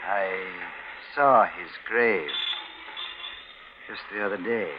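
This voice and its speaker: A man's voice: fairly low pitch, slow pace. An actor playing a suspicious, menacing plainclothesman, clipped and insinuating, in 1940s radio mystery style. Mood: cagey, menacing. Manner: clipped, tough-guy, insinuating. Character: suspicious, menacing plainclothes policeman, terse and cagey. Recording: noisy, dull 1940s radio recording.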